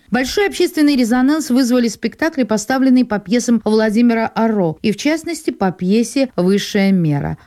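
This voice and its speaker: A woman's voice: mid-range voice, dark tone. A woman radio host reading a scripted introduction to a broadcast.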